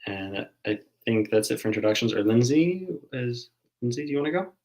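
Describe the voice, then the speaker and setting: A young man from Canada introducing himself on a video-call panel in a plain, slightly halting way. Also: mid-range voice, lively intonation, darkish tone. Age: young adult. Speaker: panelist, economics student.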